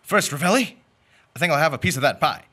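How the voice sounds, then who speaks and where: A man's voice: a little high, lively intonation, pushed and bright, breathy edge, full character voice. A man playing a glib, wisecracking con-man lawyer in a radio play. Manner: rapid-fire wisecracks, glib. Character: Flywheel, fast-talking wisecracking male con-man lawyer.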